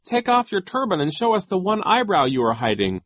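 Man voicing a high, plucky young girl. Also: huge pitch swings, brisk, fairly bright tone, no echo, heavily acted. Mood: falsely jolly. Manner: exaggerated false cheer. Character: plucky young girl feigning joking cheer.

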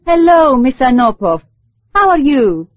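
High, lively woman greeting guests. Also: unhurried, no echo. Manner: bright, welcoming and polite. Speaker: conference receptionist in a scripted dialogue. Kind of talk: scripted language-course dialogue. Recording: dull lo-fi dry tape recording.

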